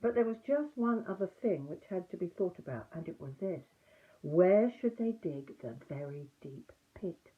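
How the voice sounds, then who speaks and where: An older woman narrates a children's storybook in an expressive storyteller's style. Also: on the low side, normal speed. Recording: muffled, narrow phone-like audio.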